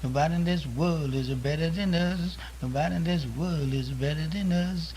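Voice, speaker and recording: A man's voice: on the high side, moderate pace, darkish tone, a little hiss or hum. A man performing emphatic verse with a repeated refrain.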